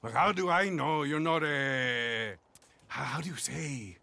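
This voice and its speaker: An actor playing a swaggering older outlaw in a video game, taunting and suspicious. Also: male voice, a little high, unhurried, creaky, very noisy. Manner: taunting, suspicious, mixes in Spanish words.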